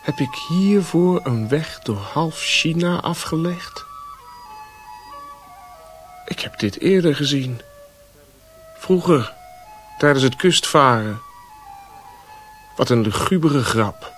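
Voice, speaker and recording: An actor playing a distraught, dazed Western traveller in a radio play, speaking in halting, broken phrases. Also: male voice, a little high, lively intonation, soft background music. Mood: bitter. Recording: noisy old radio play recording.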